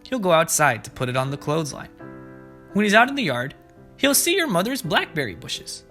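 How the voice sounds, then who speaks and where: A man's voice: a little high, big pitch swings, a little fast, bright, forceful tone. A man hosting preschool story time, reading aloud and explaining things simply and expressively to young children. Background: background music.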